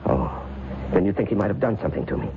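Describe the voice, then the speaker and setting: A man's voice: low voice, sing-song, fast talker, creaky, full character voice. An actor playing a frightened, agitated man who stammers and pleads in an old-time radio drama. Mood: anxious, fearful. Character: frightened, frantic man convinced he is cursed. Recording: noisy, tinny old radio broadcast.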